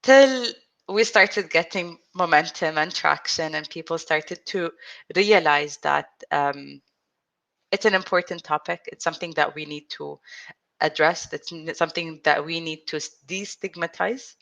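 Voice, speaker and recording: A woman in her thirties, an interview guest telling her own story in an earnest, reflective way, speaking with a stutter, frequent restarts and pauses. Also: low-pitched, vocal fry, a bit bright. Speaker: interview guest, support group founder. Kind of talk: personal storytelling in conversation.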